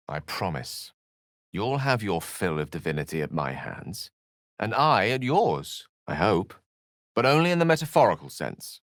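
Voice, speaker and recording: A man's voice: on the low side, a bit bright, dry recording, clean studio sound, heavily acted. An actor voicing a learned, witty, self-assured male wizard in a video game, with a wry, theatrical and bookish way of speaking. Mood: gently playful. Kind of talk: video game character voice acting.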